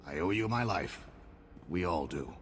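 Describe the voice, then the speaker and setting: A deep-voiced defiant prisoner. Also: male voice, animated, measured pace, fairly bright tone, a little hiss or hum. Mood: urgent. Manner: wry, stubborn, urgent. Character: defiant captured male Republic commander, dry-witted. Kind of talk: video game cutscene acting.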